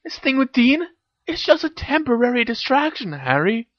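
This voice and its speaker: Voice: sits high, sing-song, moderate pace, dry recording, highs cut off, pitched above the performer's own voice. A reader voicing a teenage girl witch, a bossy and teasing best friend who speaks in emphatic exclamations, for an audio story. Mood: delighted.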